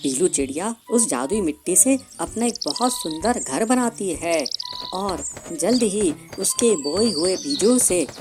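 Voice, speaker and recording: Lively woman storyteller with lowish voice. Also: a little slow, over music. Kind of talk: scripted narration of children's cartoon fable.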